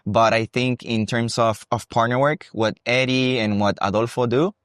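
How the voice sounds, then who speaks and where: A young man from Costa Rica with a Costa Rican accent, talking about his dance career in a relaxed, thoughtful interview. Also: mid-range voice, normal speed, clean tone, dry recording, clean studio sound. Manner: relaxed, thoughtful, with frequent restarts. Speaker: guest, salsa dancer and teacher. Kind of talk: casual interview. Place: salsa congress venue.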